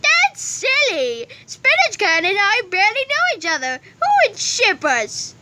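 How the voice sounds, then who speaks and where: Woman voicing a loopy, high sing-song cartoon lamp. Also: huge pitch swings, unhurried, very noisy, heavily acted. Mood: flustered. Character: loopy singing lamp cartoon teacher, cheerfully oblivious.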